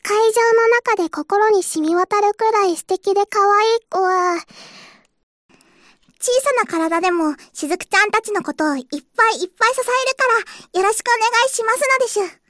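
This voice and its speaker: A tiny kindergarten girl for an anime voice drama. The voice is extremely high and childlike, cheerful and cutesy, with babyish sentence endings. It sounds close and dry. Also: normal speed, a bit bright, no room sound. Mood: eager, cutesy. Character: cutesy five-year-old kindergarten girl, cheerful, quirky archaic speech. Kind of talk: Japanese anime voice drama acting.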